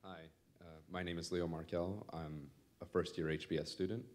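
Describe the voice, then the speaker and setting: Very deep-voiced young man. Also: steady tone, normal speed. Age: 20s. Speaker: graduate student audience questioner. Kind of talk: prepared audience question.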